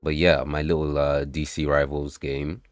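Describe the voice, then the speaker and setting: A man with an extremely deep voice talks loosely to his livestream chat while coding and playtesting, swearing freely and breaking into sudden excited outbursts. His voice sounds dry and close, with no room echo. Faint music plays in the background. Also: moderate pace. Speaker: livestreamer and game developer.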